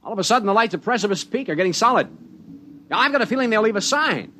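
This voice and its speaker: An actor in a 1950s radio drama playing a lanky, eager mountain climber who talks in an excitable, bantering way. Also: male voice, high-pitched, very fast, slight background noise.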